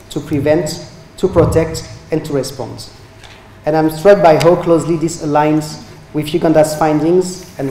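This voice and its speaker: A man with a mellow, fairly high voice reads out a formal speech in a steady, measured way. He is recorded in an echoey conference hall, with a faint hum of noise behind him. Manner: measured, read-out delivery. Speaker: EU representative giving a speech.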